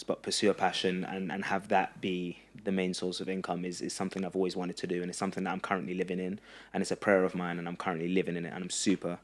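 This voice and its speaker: A young man from Egypt, a podcast guest, talking earnestly in a halting way with many fillers and restarts. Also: deep voice. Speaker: podcast guest, running content creator. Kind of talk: casual interview chat.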